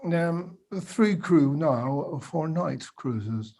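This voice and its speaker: A man playing a stubborn, guarded company witness giving evasive testimony in a play table read, with a husky voice. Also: fairly high pitch, lively intonation, very slow, warm tone, heavily acted. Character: defensive, stubborn male boat company witness.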